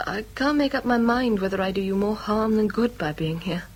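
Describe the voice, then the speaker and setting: An actress playing a loving, frank, long-suffering girlfriend in an intimate radio drama, often hushed. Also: medium pitch, lively intonation, brisk, natural voice. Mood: tender, pleading.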